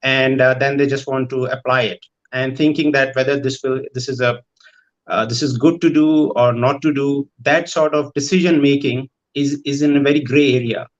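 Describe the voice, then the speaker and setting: Dull, lo-fi audio of a man with a mid-pitched voice. He is answering off the cuff as a parent on a discussion panel. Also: fairly even intonation, moderate pace.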